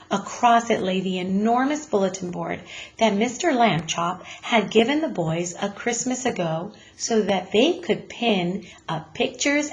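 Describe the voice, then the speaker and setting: A woman teacher reading a children's story aloud in an expressive, deliberate manner. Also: medium pitch, poor audio.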